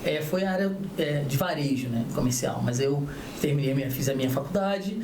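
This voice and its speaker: A man, a tax accountant, recounting his path as a podcast guest. He talks in a relaxed way, restarting phrases and adding little tag questions. The room is echoey, with a faint noise floor. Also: on the high side, a little fast. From Niterói, Rio de Janeiro state, Brazil. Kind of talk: interview guest telling his career story.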